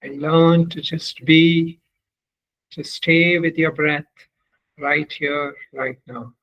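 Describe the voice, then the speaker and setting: A man leading a guided meditation as a teacher, speaking in measured, deliberate phrases with long pauses between them. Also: fairly high pitch, steady tone, highs cut off.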